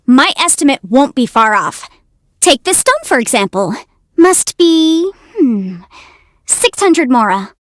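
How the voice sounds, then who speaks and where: A woman voicing a young, quick-witted half-immortal legal adviser in a video game, articulate, self-assured and playful. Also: sits high, no room sound, clean studio sound. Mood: playful, proud. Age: young adult. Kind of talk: video game character voice lines.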